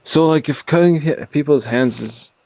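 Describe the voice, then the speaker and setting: A man's voice: a little high, normal speed, creaky, mellow, dry recording. Insistent man on a dull, dry call line. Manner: insistent, talks over others, says "bro" often. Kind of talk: heated online debate.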